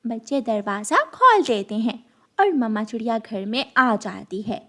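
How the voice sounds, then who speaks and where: A woman narrator reading a scripted animated moral story for children. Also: fairly high pitch, a little slow.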